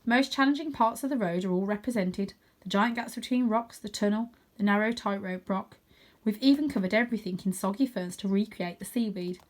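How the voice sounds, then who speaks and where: A woman's voice: mid-range voice, fairly quick. Woman reading a children's story aloud. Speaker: reader of children's stories. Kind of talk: expressive storybook read-aloud with chatty asides.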